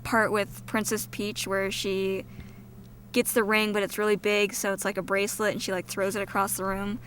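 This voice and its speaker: A woman's voice: medium pitch, little pitch movement, normal speed, fairly bright tone, a little hiss or hum. A young woman co-hosting a casual film podcast, giving blunt, dry opinions. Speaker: podcast co-host.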